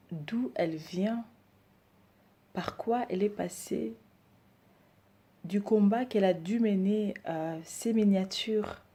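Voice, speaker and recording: A woman in her thirties, from Rwanda and raised in Belgium, introducing herself and her decor business, hesitant with many pauses. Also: medium pitch, mellow. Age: 30s. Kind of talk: personal introduction to camera.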